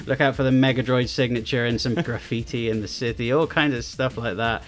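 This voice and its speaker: A man chatting casually as a podcast guest and game developer, talking in a relaxed, rambling way with frequent chuckles. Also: mid-range voice, fairly quick.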